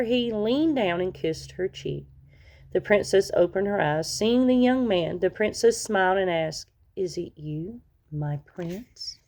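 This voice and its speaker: A woman reading a children's story aloud as narrator, in an expressive storytelling manner that leans on key words. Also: medium pitch. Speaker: storybook reader.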